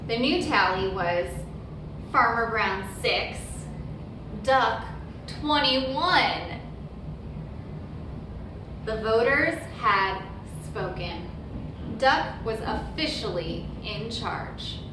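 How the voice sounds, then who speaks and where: A woman reading a children's picture book aloud as a storyteller, with deliberate, emphatic phrasing and brief asides. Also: on the high side, expressive. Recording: very echoey room, distant mic, noisy background.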